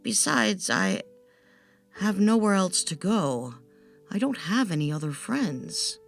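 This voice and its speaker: A woman's voice: medium pitch, lively intonation, slow pace, creaky, faint music behind, voice pushed up. A woman voicing a timid female bat in a storybook tale, a lonely and cautious servant who speaks hesitantly. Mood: sad, resigned. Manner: hesitant, anxious. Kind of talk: storybook character voice.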